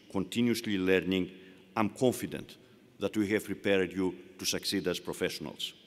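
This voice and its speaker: A man with a Greek accent giving a formal commencement speech, delivering measured phrases one at a time from a podium. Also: medium pitch. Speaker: university leader giving commencement address. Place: large hall. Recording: long reverb of a large hall.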